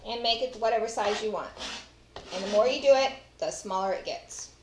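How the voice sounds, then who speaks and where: A woman's voice: on the high side, brisk, a little hiss or hum. Chatty Texan woman in an echoey kitchen. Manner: chatty, talks to viewers while working. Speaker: cooking show host. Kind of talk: casual cooking demonstration. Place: home kitchen, indoors. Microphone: far camera. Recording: echoey kitchen with clattering dishes.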